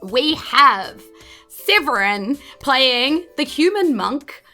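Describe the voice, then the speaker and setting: A woman's voice: sits high, sing-song, extremely slow, bright, forceful tone, faint music behind. A woman with a New Zealand accent, chatting in a bubbly, welcoming way as podcast host and dungeon master. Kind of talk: chatty podcast hosting of a tabletop game.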